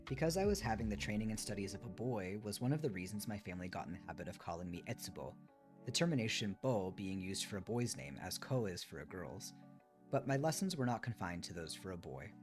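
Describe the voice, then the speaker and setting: Low-pitched man reading aloud over guitar music. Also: animated, quick pace. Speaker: streamer reading a book aloud. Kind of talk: live read-aloud of a memoir, with casual asides. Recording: music behind the voice.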